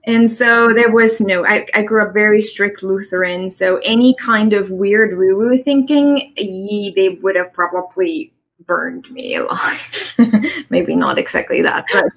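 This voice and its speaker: A woman with a German accent speaks at a medium, everyday pitch. She talks in an earnest, reflective way, often pausing on fillers and laughing lightly, as a guest on an online live show. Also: lively intonation, a bit bright, low-quality recording. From East Germany. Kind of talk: conversational interview. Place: home in the Midwest. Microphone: online live stream.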